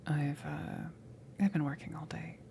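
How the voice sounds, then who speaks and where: A woman playing a flustered, self-conscious alien crewmember in an ASMR roleplay, breathy and stammering, smitten with the listener. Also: sits low, flat delivery, mellow, a little hiss or hum. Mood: flustered, hesitant. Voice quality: breathy, creaky, often whispered. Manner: hesitant, stammering, slow, awkward.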